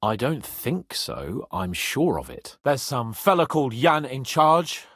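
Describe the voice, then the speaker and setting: An actor playing a brash, haughty young medieval nobleman in a video game, sardonic and hot-tempered, with a slightly husky voice. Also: mid-range voice, measured pace, no room sound, clean studio sound, exaggerated voice. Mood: subdued, earnest. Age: young adult. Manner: haughty, sarcastic, swearing, expressive.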